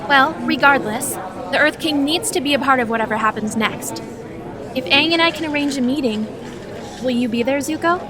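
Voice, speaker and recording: A woman playing a caring, sensible teenage girl in an animated comic dub, earnest and firm. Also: sits high, moderate pace, a crowd in the background, natural voice. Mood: caring, reasonable.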